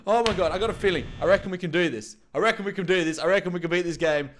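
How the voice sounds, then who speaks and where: A young man playing a horror game and recording through a headset at home, muttering to himself and breaking into panicked shouts. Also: high-pitched, very fast, slight background noise. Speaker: gaming YouTuber. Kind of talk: horror game let's play. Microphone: over-ear headset.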